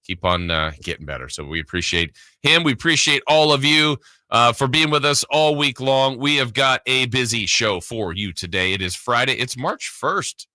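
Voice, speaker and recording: A man's voice: mid-range voice, lively intonation, normal speed, pushed and bright, no echo. A man hosting a sports radio show, chatting casually in a rambling, conversational way close to the mic. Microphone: close studio broadcast mic.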